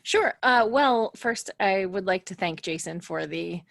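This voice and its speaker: A woman's voice: mid-range voice, animated, measured pace, gravelly, a bit bright, no echo, studio quality. A woman in her thirties talking casually on a podcast, giggly and self-deprecating, as one of the show's players. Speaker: podcast cast member and player.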